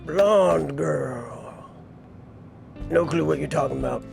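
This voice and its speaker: A man voicing a blunt, hard-drinking, divorced old barkeep type with a gravelly voice in a comedic anime parody dub. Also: on the high side, takes it slowly, music in the background, full character voice. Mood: gruff, offhand. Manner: blunt, rambling, drunken deadpan.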